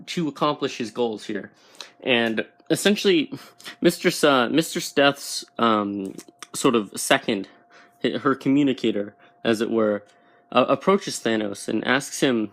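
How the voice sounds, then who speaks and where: A man presents an informal comics explainer in a voice of average pitch. He speaks haltingly, feeling his way toward each phrase as he goes. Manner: halting, searching for words. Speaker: YouTube comics explainer host.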